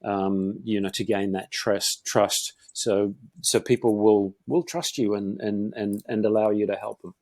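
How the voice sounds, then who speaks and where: A middle-aged man from Northeast England with a Geordie accent softened by years in New Zealand, a podcast guest who talks in a rambling way with many fillers and restarts. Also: on the low side, steady tone, unhurried, hardly any pauses, no echo. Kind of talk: conversational interview.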